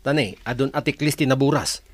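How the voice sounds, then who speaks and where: A man's voice: mid-range voice, brisk, husky, lightly acted. A man with an Ilocano accent playing a scheming, cheerful village husband in a radio comedy drama.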